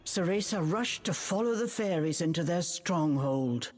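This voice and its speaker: A woman narrating a video game like a storybook, in an expressive, measured way. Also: fairly low pitch. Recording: music behind the voice.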